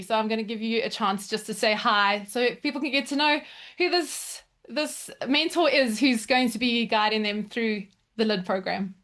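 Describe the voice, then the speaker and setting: A cheerful woman with a South African accent talks in a relaxed, friendly way. Her voice sits fairly high. She laughs easily while she chats about herself over a video call. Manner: warm, upbeat, laughs easily. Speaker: program mentor. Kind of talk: casual self-introduction.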